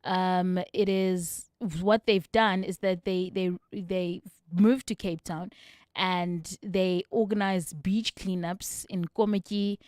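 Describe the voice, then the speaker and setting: Woman, radio host, smooth clear voice, dry studio sound, chatty. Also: lowish voice, steady tone, slow, a little mellow, dry recording. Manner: upbeat, chatty. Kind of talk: radio talk show hosting.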